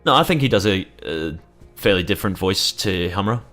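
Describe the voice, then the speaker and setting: A man's voice: low voice, animated, fairly quick, fairly bright tone, faint music behind. A man giving casual commentary over a game, rambling with fillers and swearing freely. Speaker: gaming streamer.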